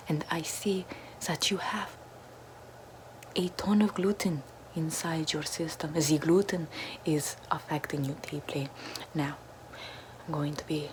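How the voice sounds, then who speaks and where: Young woman, low and breathy deadpan whisper, parody ASMR, close webcam, noisy home recording. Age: young adult. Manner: deadpan mock-mystic whispering. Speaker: ASMR creator. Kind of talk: parody ASMR role-play. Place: small bedroom with floral backdrop, indoors.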